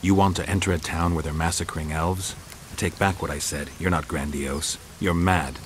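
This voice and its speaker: An actor playing a terse, skeptical monster-hunting swordsman in a video game, gravelly and dry, speaking in clipped phrases. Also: deep voice, fairly even intonation, normal speed, slight background noise, close to an everyday voice. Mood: blunt. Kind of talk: game dialogue acting.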